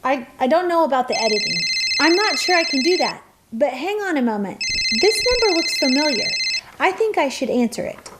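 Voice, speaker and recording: A gentle mother in a radio play, patient and slightly flustered. Her high voice moves up and down a lot, and she chooses her words with care. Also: very animated, brisk, heavy background noise. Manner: careful, hesitant, patient.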